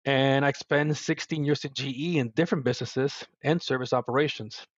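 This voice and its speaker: A man's voice: medium pitch, fairly even intonation, a little fast, no room sound, muffled recording. A middle-aged man from Puerto Rico with a Puerto Rican Spanish accent, speaking as a guest in a podcast interview in an earnest, reflective way with frequent restarts. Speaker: guest, service executive.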